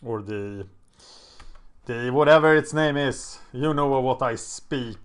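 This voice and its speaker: A man's voice: medium pitch, a little slow, smooth. A man with a Swedish accent, a native Swedish speaker, thinking aloud and chatting as he commentates his own card game.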